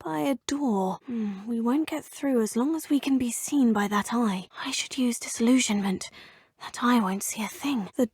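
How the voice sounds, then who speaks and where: A polite young student witch, slightly high, dry close sound, video game voice lines. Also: normal speed, darkish tone, husky, no room sound. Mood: musing. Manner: thinks aloud, polite and formal. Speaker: player character.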